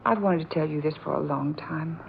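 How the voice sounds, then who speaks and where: Anxious young fiancée, dull noisy radio recording. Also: female voice, deep voice, fairly quick, creaky. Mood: anxious, insistent. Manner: hesitant, urgent, earnest delivery with repeated words. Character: frightened, distressed young fiancée, suspicious of her aunt. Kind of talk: old-time radio drama acting.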